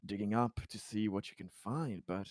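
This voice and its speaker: A man acts out a careful corporate executive in a tabletop game. He is lowish in pitch and formally polite, feeling his way through long, halting sentences. The sound is close and dry. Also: darkish tone, no echo, natural voice. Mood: measured, diplomatic. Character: cautious, diplomatic male corporate executive.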